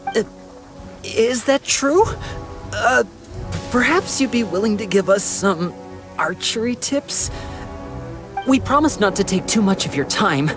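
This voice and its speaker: Woman playing very expressive young resistance recruit. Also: mid-range voice, a little slow, long pauses, over music, close to an everyday voice. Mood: hesitant, hopeful. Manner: expressive, earnest. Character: earnest young female resistance trainee archer, easily discouraged. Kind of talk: video game voice acting.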